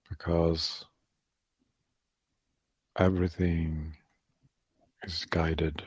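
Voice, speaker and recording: Slow, very low, gravelly man guiding meditation. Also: no room sound. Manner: calm, halting, with long pauses between short phrases. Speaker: meditation guide. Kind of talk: guided meditation, softly spoken instruction.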